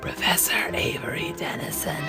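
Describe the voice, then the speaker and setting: A man playing a menacing gang leader in an adventure serial, with a gravelly, hissing, threatening voice. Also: in a whisper, music in the background, natural voice. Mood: cold menace. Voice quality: gravelly, breathy hiss. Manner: slow, threatening, drawn-out delivery. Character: menacing male villain leading a gang of assailants. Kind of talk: amateur radio adventure serial acting.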